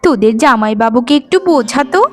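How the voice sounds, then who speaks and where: A woman voicing a gentle, devoted young bride in a cartoon, tender, earnest and pleading. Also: on the high side, animated, a little fast, natural voice. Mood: fondly complaining. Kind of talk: melodramatic cartoon acting.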